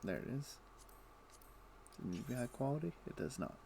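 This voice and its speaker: A man casually commenting while playing a game on a live stream, relaxed and thinking aloud to himself. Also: medium pitch, fairly quick, gravelly, a little hiss or hum. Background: background music.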